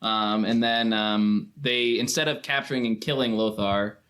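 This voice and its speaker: A man's voice: fairly low pitch, fairly even intonation, measured pace, a bit bright. Casual man chatting about films. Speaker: film podcast speaker. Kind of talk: casual film discussion.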